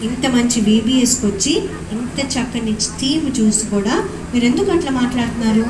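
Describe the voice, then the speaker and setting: A woman voicing a kindly grandpa puppet in a live puppet show, gently scolding the children. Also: on the high side, brisk, close to an everyday voice. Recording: echoey, noisy hall.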